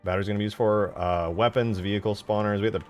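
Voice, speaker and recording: A man's voice: fairly low pitch, fairly even intonation, fast talker. A man commenting casually on his screen as he works on a game mod, thinking aloud in informal, sweary language. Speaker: game modder, channel host. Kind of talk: casual screen-share commentary while working. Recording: music behind the voice. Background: music.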